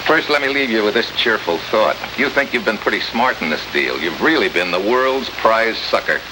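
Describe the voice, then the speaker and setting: An actor playing a tough, wisecracking American special agent in an old radio drama, sardonic and slangy. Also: male voice, lowish voice, animated, normal speed, natural voice. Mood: needling, hard. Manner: sardonic, hard-boiled, slangy. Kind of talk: 1950s radio drama acting. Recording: noisy old radio recording, heavy hiss.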